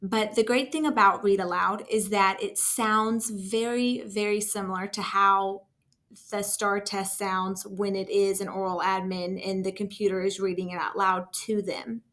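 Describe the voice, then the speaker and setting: A woman talking through a casual screen-share software tutorial as a trainer, in an informal, enthusiastic and encouraging way. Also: medium pitch, flat delivery, unhurried. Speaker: school district technology trainer.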